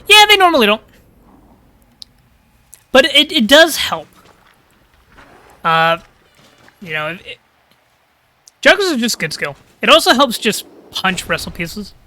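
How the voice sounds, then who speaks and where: A man calls a live game match in a high, ringing voice, talking casually and thinking out loud. His throat is a little crackly. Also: huge pitch swings, unhurried, long pauses. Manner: casual, chatty, thinking aloud. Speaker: caster.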